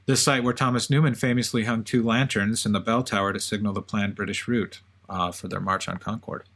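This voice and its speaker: A man's voice: on the low side, fairly even intonation, a little fast, fairly bright tone. A man hosting a podcast with conversational, semi-scripted storytelling. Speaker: podcast co-host.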